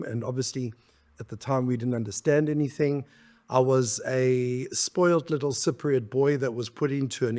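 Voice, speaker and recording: A middle-aged man from South Africa, a podcast guest talking reflectively in a relaxed interview and laughing easily. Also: medium pitch. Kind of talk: conversational interview.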